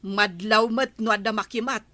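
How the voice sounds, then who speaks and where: A woman's voice: mid-range voice, fairly even intonation, normal speed, bright, forceful tone, no room sound, close to an everyday voice. A woman playing a practical, scolding wife in an Ilocano radio comedy drama. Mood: questioning.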